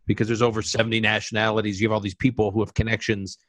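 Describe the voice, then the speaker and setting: A man hosting a live conversation, moderating questions from the audience in a brisk, eager way that keeps jumping ahead. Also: on the low side, flat delivery, no echo. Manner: brisk, eager, quick to jump in. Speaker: interviewer and host.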